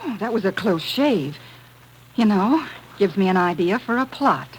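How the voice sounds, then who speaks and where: An actress playing a quick, inquisitive woman writer in a 1950s radio drama, expressive and questioning. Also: medium pitch, natural voice. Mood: curious. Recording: noisy old radio recording.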